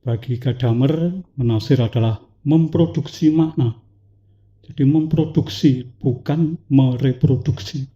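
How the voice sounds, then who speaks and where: A man with a cough reading a poetic prepared talk to an audience, slow and measured, with dramatic pauses. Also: medium pitch, dark tone, dull audio. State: has a cough. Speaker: speaker at a talk event.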